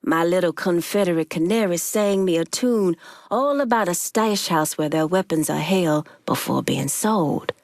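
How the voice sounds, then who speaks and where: A woman's voice: fairly low pitch, moderate pace, darkish tone, dry recording, clean studio sound, lightly acted. An actress playing a tough, shrewd Haitian woman gang boss in a video game, blunt, streetwise and commanding. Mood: resolute, businesslike.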